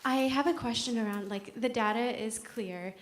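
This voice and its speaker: A woman asks the speaker a question, polite and tentative, with fillers along the way. Her voice is a little higher than most, with faint noise behind. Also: normal speed. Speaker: audience member. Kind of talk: audience question.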